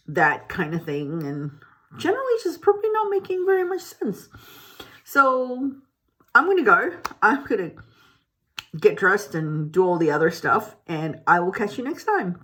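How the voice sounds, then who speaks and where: A woman's voice: lowish voice, a bit bright, slightly rough. A woman who grew up in Malaysia, chatting to camera at home in a rambling, casual way with many restarts. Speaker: beauty vlogger. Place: home, indoors.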